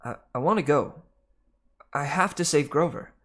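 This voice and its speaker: A reader as a halting, earnest boy. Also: mid-range voice, expressive, pitched above the performer's own voice, close to an everyday voice. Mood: hesitant, earnest. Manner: halting, plain-spoken. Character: hesitant, earnest young boy hero.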